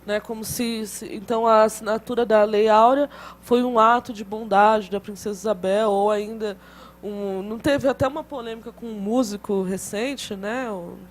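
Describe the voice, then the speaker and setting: A woman's voice: mid-range voice, fairly even intonation, moderate pace. A woman giving a classroom lecture in a conversational way, often adding tag questions and restarting phrases.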